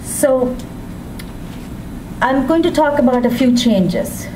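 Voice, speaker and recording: A woman from Sri Lanka giving a public speech as a women's rights advocate, with a measured, deliberate delivery and emphatic rises. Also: high voice, animated. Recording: distant mic with background noise.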